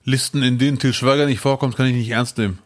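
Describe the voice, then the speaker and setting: A man's voice: medium pitch, steady tone, quick pace. A man with a mid-range voice co-hosting a podcast in casual chat. He jokes often and talks with emphatic enthusiasm. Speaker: podcast co-host.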